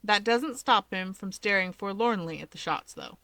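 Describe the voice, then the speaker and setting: A woman's voice: mid-range voice, moderate pace, a bit bright, no echo. A woman reading a story aloud as scripted narration with character dialogue. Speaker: story reader.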